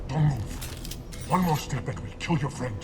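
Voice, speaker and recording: An actor playing a suspicious, menacing alien thug in a video game, with hard, curt delivery. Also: male voice, mid-range voice, expressive, a little slow, noisy background, lightly acted. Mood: threatening.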